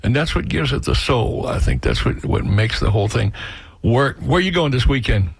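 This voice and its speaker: A man chats casually as a radio host, in a rambling, folksy manner. The sound is dry and close, as in a studio, and his voice sits at an ordinary middle pitch. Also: big pitch swings, normal speed, creaky, breathy edge, no room sound. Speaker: radio show host and musician. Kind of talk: casual on-air radio chat.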